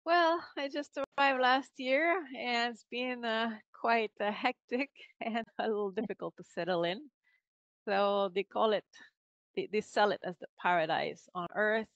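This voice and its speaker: A woman's voice: fairly high pitch, unhurried, fairly bright tone, no echo, muffled recording. A middle-aged woman with a French Canadian accent, chatting as a guest on a video call and laughing often between filler words. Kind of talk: casual interview conversation. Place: home office with bookshelves. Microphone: podcast mic on video call.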